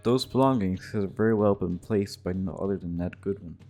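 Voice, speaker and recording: A man's voice: sits low, fairly quick, dark tone. A man narrating casual, scripted video game commentary in an offhand, irreverent way. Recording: music behind the voice. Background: guitar music.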